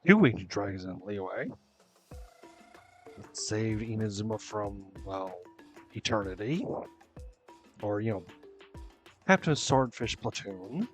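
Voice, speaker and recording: A man hosts a video game playthrough in a casual way. His voice sits on the low side and he takes his time between phrases. Game music plays faintly behind him. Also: expressive, takes it slowly, pauses often. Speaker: gamer, playthrough host. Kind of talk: casual game commentary, reading lines aloud.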